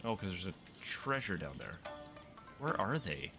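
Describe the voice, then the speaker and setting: A man's voice: low-pitched, very animated, unhurried. A man giving casual, off-the-cuff commentary while he plays a game, musing aloud and teasing the characters. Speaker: gamer commentating on playthrough. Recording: music playing behind the voice. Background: game music.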